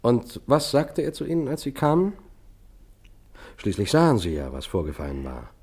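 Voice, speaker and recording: An actor playing a calm, methodical police sergeant in a matter-of-fact, probing way in a German radio crime drama. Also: male voice, mid-range voice, normal speed, husky. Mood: businesslike, probing.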